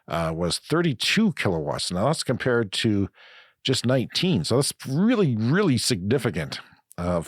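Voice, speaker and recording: Middle-aged podcast co-host, sick and raspy, low-pitched, meandering delivery, close dry mic. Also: male voice, no echo. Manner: rambling, self-correcting explanations. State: raspy from flu. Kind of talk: casual chat.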